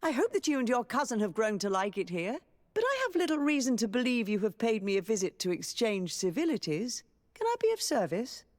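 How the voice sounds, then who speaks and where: A woman's voice: a little high, sing-song, brisk, darkish tone. An actress playing a refined, gracious yet shrewd aristocratic lady in a video game, speaking in stately, formal phrases. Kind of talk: scripted video game dialogue. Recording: long reverberant hall echo.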